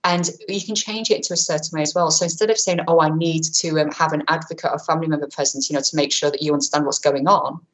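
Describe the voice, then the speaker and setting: A woman presenting an online training webinar, talking quickly in a chatty way with many fillers and self-corrections, into a webcam mic. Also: low-pitched, fairly even intonation, rough recording. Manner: says she has a powerful voice. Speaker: webinar presenter on vulnerable clients.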